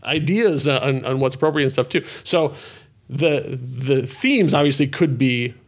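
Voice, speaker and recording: A man co-hosting a podcast in casual, unscripted chat, rambling and halting, with a self-deprecating manner. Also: medium pitch. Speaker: podcast co-host and show creator.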